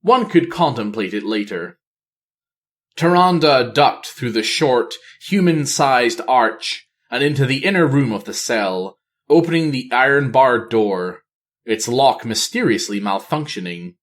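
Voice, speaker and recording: A man reading a fantasy novel aloud as scripted narration, in a measured, theatrical manner. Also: medium pitch, animated. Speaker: audiobook reader.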